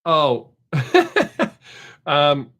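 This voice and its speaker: A man's voice: on the high side, wildly swooping pitch, very slow, a bit bright. Man, middle-aged, live stream host, casual rambling chat, desk microphone. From American South. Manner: chatty, rambling, reads chat aloud.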